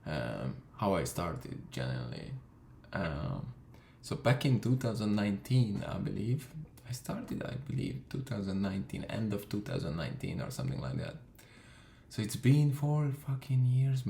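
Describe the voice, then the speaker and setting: A man's voice: lowish voice, vocal fry, darkish tone, slight background noise. A young man from Hungary tells his story in a casual, profane way, with lots of fillers. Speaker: vlogger. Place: room in London. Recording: echoey room.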